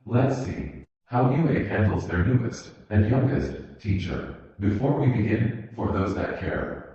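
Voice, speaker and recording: Male story narrator, low register, scripted audiobook read, strongly reverberant and slightly dull home recording. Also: warm tone. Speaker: fan fiction narrator. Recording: muffled, very echoey room recording.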